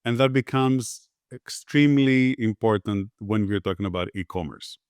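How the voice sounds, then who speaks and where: A man from Greece, a podcast guest, giving relaxed conversational answers with frequent fillers and restarts. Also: fairly low pitch, moderate pace, smooth, no echo, clean studio sound. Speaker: podcast guest, agency founder.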